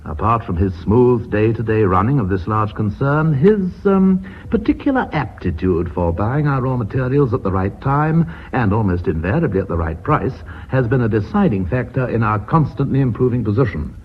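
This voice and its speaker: An actor playing a genial, pompous company chairman with a British accent, formal and measured, in a radio drama. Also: mid-range voice, animated, husky. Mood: formal, approving. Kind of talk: BBC radio drama acting. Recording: noisy, dull old radio recording.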